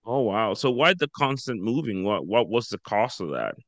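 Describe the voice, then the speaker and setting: A man hosting an interview, relaxed and casual, with frequent stumbling restarts. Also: lowish voice, moderate pace, no echo. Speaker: podcast host.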